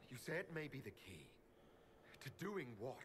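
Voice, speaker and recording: A man's voice: mid-range voice, very animated, a little slow, fairly bright tone. A man playing a bewildered but earnest captive hero in a fantasy video game. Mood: questioning, defensive. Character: bewildered but earnest young male hero held prisoner. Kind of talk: dramatic video game acting. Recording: clearly noisy game audio capture.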